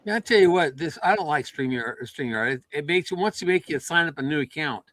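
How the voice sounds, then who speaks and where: A man's voice: on the high side, animated, brisk, a bit bright. A man joining a casual livestream as a guest, grumbling in an offhand way.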